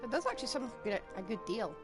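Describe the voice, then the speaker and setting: Fast-talking, animated woman game streamer. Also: on the high side, over loud music. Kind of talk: casual live game commentary.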